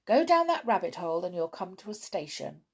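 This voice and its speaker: A woman voicing a brisk, helpful male brownie, a bearded woodland sprite from a children's storybook. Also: on the low side, big pitch swings, husky, exaggerated voice. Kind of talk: children's storybook read aloud.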